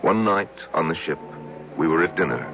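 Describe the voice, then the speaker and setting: An actor playing a broken, insecure middle-aged husband in a 1970s radio drama, halting and anguished, repeating his words. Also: low-pitched, natural voice. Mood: wistful, adoring. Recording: muffled old radio broadcast with music.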